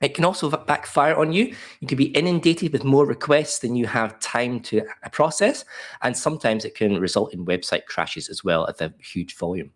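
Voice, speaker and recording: An Australian man with a cold and a croaky voice, presenting a beginner webinar in a chatty way with many ums and uhs. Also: mid-range voice, animated, moderate pace. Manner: chatty, self-deprecating, frequent ums and uhs.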